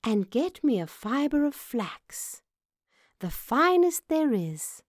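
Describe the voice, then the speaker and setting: Woman voicing a prim, high-pitched little lady mouse. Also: sing-song, slow, dry recording, clean studio sound, heavily acted. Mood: commanding. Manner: prim, spirited, exclamatory. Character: small female talking mouse, dainty, proud sweetheart. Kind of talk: storybook character voice.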